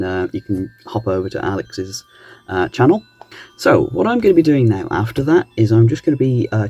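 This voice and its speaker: A man hosting a reaction channel, chatting casually in a halting, musing way. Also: lowish voice, dark tone, dry recording. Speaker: host of a reaction video channel. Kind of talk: casual chat reacting to analog horror videos.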